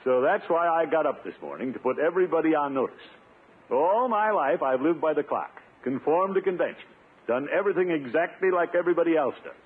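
Pompous blustering uncle on tinny old radio. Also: male voice, fairly high pitch, animated, a little fast, breathy edge. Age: middle-aged. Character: pompous, blustering middle-aged uncle, self-declared rugged individualist. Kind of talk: 1950s radio comedy acting. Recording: tinny, noisy 1950s radio recording.

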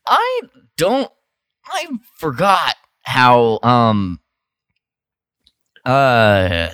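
Lively, candid man co-hosting a podcast. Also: medium pitch, drawn-out words, no echo, clean studio sound. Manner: candid, laughing, lots of "like". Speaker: podcast co-host. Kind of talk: casual chat.

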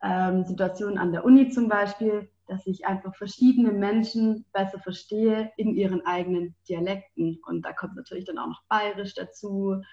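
A woman's voice: medium pitch, fairly even intonation, normal speed, hardly any pauses, warm tone, highs cut off. A young German woman, a podcast guest, speaking fluently and thoughtfully. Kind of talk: casual interview.

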